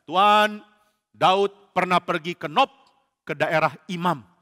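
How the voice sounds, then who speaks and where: Fawning tattletale, voiced by a man, eager and loud, bright in a reverberant hall. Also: high-pitched, slow pace, full character voice. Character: scheming foreign male informer.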